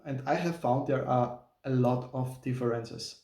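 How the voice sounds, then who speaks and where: A man's voice: mid-range voice, unhurried, warm tone. A man in his thirties from Austria, a native German speaker with an Austrian German accent, talking to camera in a careful, hesitant non-native English with small restarts. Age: 30s. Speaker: channel host, software engineer. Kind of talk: casual talking-head vlog. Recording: roomy sound from a distant mic.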